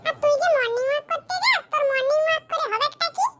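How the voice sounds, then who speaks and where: A performer voicing a fed-up, sarcastic wife scolding her husband in a comedy cartoon, with a rural West Bengal accent and a pitch-shifted voice. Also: fast, soft background music. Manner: sharp, sarcastic, colloquial scolding. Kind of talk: comedy cartoon skit dialogue.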